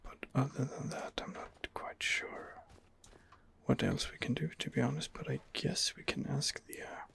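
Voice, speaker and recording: A man's voice: medium pitch, fairly even intonation, normal speed, vocal fry, fairly bright tone. A man giving whispered ASMR commentary on a game as its host, in a hesitant, rambling manner. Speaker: gaming video host.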